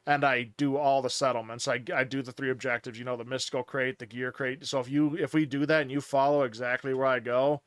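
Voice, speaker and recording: A man's voice: medium pitch, fairly even intonation, a little fast, few pauses, clean tone, no echo. A man streaming a game, chatting casually and explaining at length with many restarts, on a headset mic. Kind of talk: casual gaming chat.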